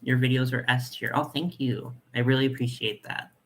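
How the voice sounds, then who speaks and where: A young man with a nasal voice hosting a casual live chat, reading comments and talking in a chatty, soft way with lots of fillers. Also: mid-range voice, level tone, normal speed. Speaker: doll collector channel host.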